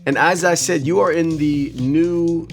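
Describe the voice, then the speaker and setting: A man hosting a casual interview over pizza, relaxed, asking questions and reading quotes aloud. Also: on the high side, a little slow, creaky, faint music behind. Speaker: interview host.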